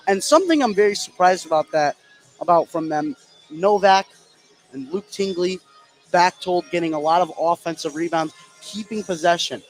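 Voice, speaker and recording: A man's voice: on the high side, poor audio. A man giving live analysis as the color commentator on a basketball radio broadcast.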